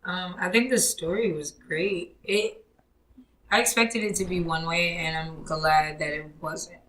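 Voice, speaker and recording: A woman joins a casual podcast discussion. Her voice is very low and barely moves in pitch, and she speaks hesitantly, with many fillers. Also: fairly even intonation, measured pace, a bit bright, a little hiss or hum, poor audio. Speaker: podcast co-host.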